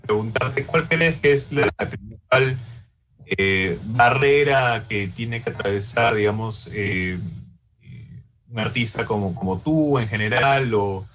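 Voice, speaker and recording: A man interviewing a guest, phrasing his question in a halting, hesitant way. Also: fairly low pitch, fairly bright tone.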